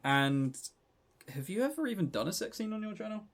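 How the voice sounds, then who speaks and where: A man's voice: high-pitched, big pitch swings, a little fast, vocal fry. A man co-hosting a podcast, chatting casually and unscripted about games in a joking, teasing way. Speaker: podcast co-host.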